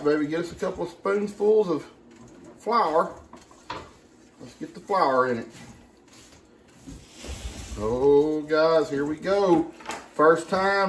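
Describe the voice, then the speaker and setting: A man hosting a casual home cooking video, chatting playfully and using pet names. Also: fairly high pitch. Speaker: cooking show host. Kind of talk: casual chat while cooking. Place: indoor home kitchen. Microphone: camera a few feet away on counter. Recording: roomy kitchen, some background noise.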